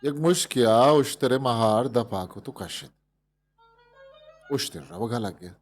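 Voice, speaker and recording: A man with a Balochi accent reading a fable as a storyteller, in an expressive scripted narration. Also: mid-range voice, measured pace. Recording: music behind the voice. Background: instrumental music.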